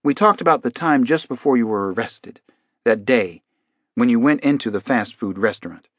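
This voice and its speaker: A man voicing a calm, authoritative male doctor who hypnotizes in a quiet, soothing audiobook voice. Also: mid-range voice, normal speed, no room sound, muffled recording, close to an everyday voice. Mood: gently probing.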